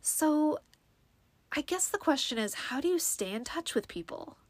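A woman's voice: high-pitched, expressive, measured pace, no echo. A young woman hosting her own podcast, talking alone in a rambling way with lots of fillers, a little sniffly from allergies. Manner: rambling, many fillers and restarts. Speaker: podcast host. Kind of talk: casual solo podcast monologue.

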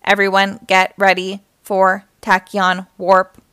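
A woman voicing a calm, careful male robot spaceship pilot in a children's story, measured and composed. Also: mid-range voice, clear, resonant tone, no echo, clean studio sound.

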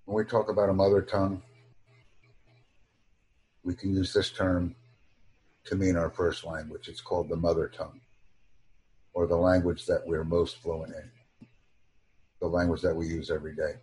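A man teaching an online English lesson, speaking English natively in slow, formal, measured short phrases. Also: sits low, level tone, a little mellow, slightly rough, rough recording. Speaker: English tutor.

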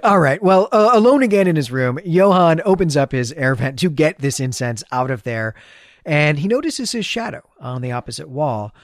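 A man's voice: on the high side, animated, moderate pace, no echo. Male podcast co-host, dry close sound. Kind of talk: story recap and casual literary discussion.

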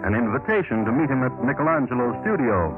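A man narrating a vintage radio drama in a measured, literary and dramatic way. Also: medium pitch, expressive. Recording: narrow-band old radio recording with music.

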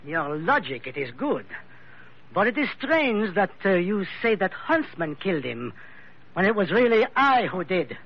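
High-voiced suave Frenchman. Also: big pitch swings, a little slow, slightly rough, exaggerated voice. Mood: suavely menacing. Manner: formal, courteous, hesitant foreign phrasing. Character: suave, suspicious Frenchman, insurance investigator with a pistol. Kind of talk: old-time radio drama acting. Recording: dull vintage radio recording.

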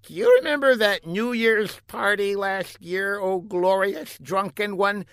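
A man voicing a timid, servile scientist underling who meekly flatters the boss in a comic audiobook. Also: sits high, a little slow, clear, resonant tone, dry recording, studio quality, pitched above the performer's own voice.

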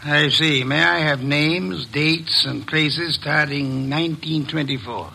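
An actor playing a middle-aged private investigator in a 1950s radio drama, speaking in a precise, measured, commanding way. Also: male voice, fairly high pitch, steady tone, gravelly, music in the background. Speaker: detective.

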